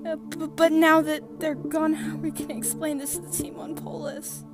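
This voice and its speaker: A woman voicing a sweet, bubbly young female crewmate in a comic dub, with a cutesy, expressive delivery. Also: sits high, moderate pace, music in the background, natural voice. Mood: worried. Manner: cutesy, sing-song, expressive.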